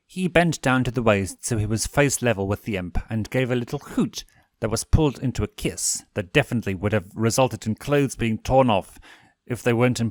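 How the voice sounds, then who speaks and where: A man narrating a scripted story in an expressive audiobook style. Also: on the low side, dry recording, clean studio sound. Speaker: fan fiction reader and narrator.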